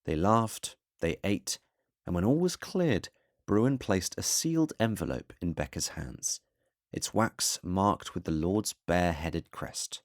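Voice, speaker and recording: A man with a deep voice narrates a fantasy tale as relaxing bedtime listening. His delivery is measured and solemn, with a literary storyteller's phrasing. The sound is very dry, free of room echo. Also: animated.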